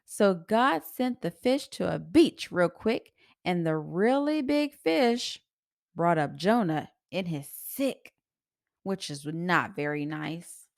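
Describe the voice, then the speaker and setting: A woman reading a children's story aloud in an expressive, sing-song rhyming delivery. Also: lowish voice, slow pace, vocal fry, dry recording, clean studio sound.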